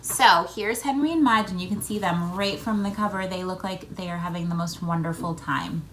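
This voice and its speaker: A woman reading a picture book aloud to children, with expressive emphasis and chatty asides addressed to the listeners. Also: lowish voice, moderate pace, a little hiss or hum. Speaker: read-aloud host for children.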